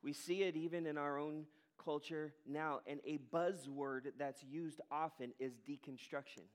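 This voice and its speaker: A man's voice: fairly high pitch, fairly even intonation, moderate pace, smooth. A pastor preaching a sermon to his congregation in an earnest, emphatic manner, with falling cadences. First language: English.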